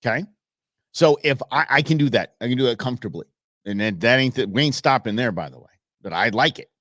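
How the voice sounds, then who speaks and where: A man's voice: mid-range voice, animated, a little fast, a bit bright, no echo, clean studio sound. A man in his forties hosting a podcast, talking in a blunt, emphatic way. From United States. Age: 40s. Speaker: podcast host. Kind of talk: casual political talk.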